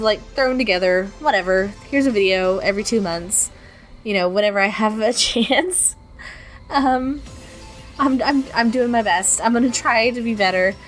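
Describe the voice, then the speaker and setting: Young woman, raspy and sniffly with a cold. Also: medium pitch. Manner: rambling, self-deprecating, lots of ums and restarts. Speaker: artist narrating her speedpaint. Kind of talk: casual voiceover chat.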